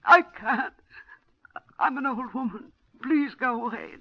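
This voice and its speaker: A frightened old widow. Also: female voice, on the high side, a little slow, husky. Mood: pleading. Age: older. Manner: pleading, tries to placate. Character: frightened old widow held captive at home. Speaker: heroine and narrator. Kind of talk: 1940s radio acting. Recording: dull 1940s radio broadcast.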